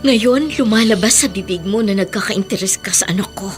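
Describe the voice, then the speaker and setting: A woman's voice: medium pitch, brisk, soft background music, natural voice. An actress playing a proud, wounded mother and former bride in a radio drama, reproachful and intense. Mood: defiant, hurt. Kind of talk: Tagalog radio drama acting.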